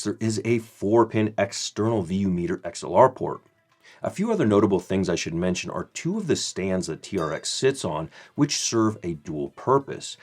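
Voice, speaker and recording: A man in his thirties reading a scripted product review in a measured, formal way. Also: low voice.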